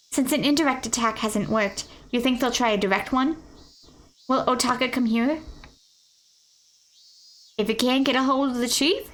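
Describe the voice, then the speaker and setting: A woman's voice: a little high, fairly bright tone, rough recording. A woman voicing a cocky veteran male police detective who talks in a smug, boastful way.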